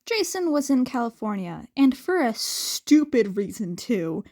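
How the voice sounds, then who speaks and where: A woman's voice: on the high side, very animated, measured pace, darkish tone. A woman reading a story aloud as an amateur fan fiction narrator.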